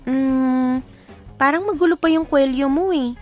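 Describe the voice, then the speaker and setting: A woman playing a polite, reassuring younger student in a radio drama, gentle and calming, with a Filipino accent. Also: high-pitched, measured pace. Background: guitar music.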